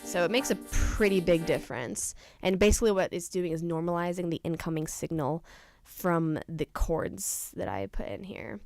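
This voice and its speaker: A young adult woman presenting an informal software tutorial, explaining things casually step by step. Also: on the low side, lively intonation, moderate pace, faint music behind. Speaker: tutorial presenter, studio intern.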